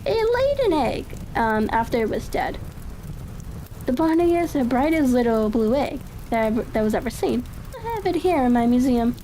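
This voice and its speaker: A woman's voice: on the high side, very animated, moderate pace, mellow, a little hiss or hum, poor audio. A woman voicing a courteous, confident male detective in a storybook reading, polite and cheery. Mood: gleeful, teasing. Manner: bland, politely cheery, self-assured. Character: confident, courteous gentleman detective, gleefully all-knowing.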